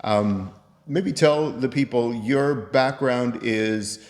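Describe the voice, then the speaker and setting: A man's voice: medium pitch, slow pace. A man in his fifties hosting a show in a casual, conversational and motivational way. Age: 50s. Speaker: host. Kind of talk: casual chat to camera. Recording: echoey room with long reverb.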